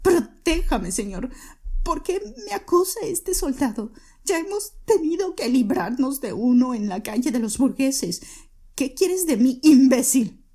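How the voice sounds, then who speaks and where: A woman's voice: high voice, expressive, measured pace, mellow. A woman voicing a frantic, grieving widowed mother who pleads shrilly and desperately.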